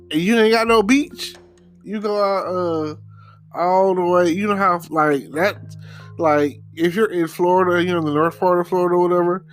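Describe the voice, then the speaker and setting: A man from Texarkana in the United States hosting a casual solo podcast, talking in a halting, rambling way with repeated words and frequent check-in phrases. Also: high-pitched. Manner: rambling, halting, repeats words, says "you know" often. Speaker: podcast host.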